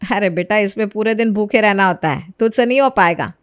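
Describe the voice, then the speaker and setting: A woman's voice: mid-range voice, fairly quick, clear, resonant tone, natural voice. Woman as an indulgent, soothing mother-in-law. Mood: gently doubtful. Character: kindly, indulgent middle-aged mother-in-law, patient and soothing. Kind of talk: acted Hindi storybook narration.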